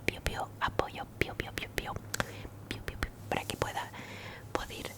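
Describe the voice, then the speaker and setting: Whispering woman doing ASMR. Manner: repeats words and phrases softly. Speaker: ASMR creator and channel host.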